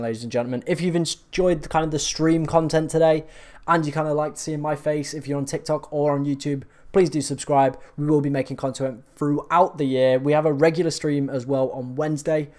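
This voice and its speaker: Slightly hoarse man chatting live. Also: a little high, a little mellow. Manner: chatty, reacting to viewer comments. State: slightly hoarse from long talking. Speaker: live stream host. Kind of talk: casual live stream chat.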